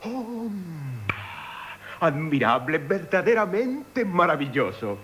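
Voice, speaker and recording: An actor playing a proud, terse gentleman in Castilian Spanish radio theatre. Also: on the high side, very animated, takes it slowly, full character voice. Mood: dry, irritated. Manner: terse, commanding. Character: curt, proud gentleman, grudgingly falling for a woman. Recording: noisy old radio drama recording.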